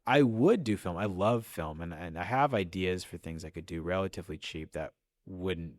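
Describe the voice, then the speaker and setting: A low-pitched American man gives reflective interview answers about his own work. The sound is dry, with the unscripted phrasing of conversation. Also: normal speed, no echo. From central Illinois, USA. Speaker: audio drama writer and director.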